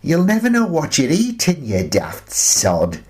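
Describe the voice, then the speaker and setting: A fussing, affectionate woman, as a male actor puts her on in a radio play. Her voice is pitched up, with the caring exasperation of a grown daughter scolding a parent. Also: wildly swooping pitch, unhurried, darkish tone, breathy edge, exaggerated voice. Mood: teasing, exasperated.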